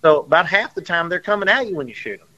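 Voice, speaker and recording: A man, a guest on a radio show, telling stories in an animated way and often repeating himself. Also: sits high, fast talker, highs cut off. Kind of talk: storytelling in casual chat.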